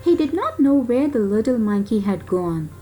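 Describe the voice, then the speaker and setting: A woman reading a children's story aloud in an expressive way, with dramatic pauses and emphasis. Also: on the high side, faint music behind, poor audio.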